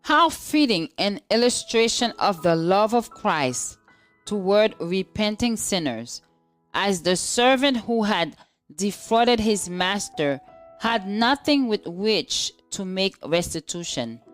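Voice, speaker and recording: A woman's voice: medium pitch, lively intonation, slow pace, faint music behind. A woman reading a scripted religious devotional aloud as narration. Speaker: devotional reader.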